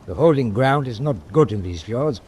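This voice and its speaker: An actor playing a weary, overconfident tanker captain in a war radio drama, curt and commanding. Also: male voice, medium pitch, animated, moderate pace, darkish tone, natural voice. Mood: weary, complacent. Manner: curt, commanding, self-assured. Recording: faint background noise.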